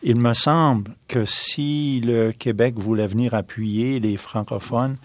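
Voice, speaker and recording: A man who is a guest on a radio interview, speaking haltingly with many hesitations. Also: medium pitch, no room sound.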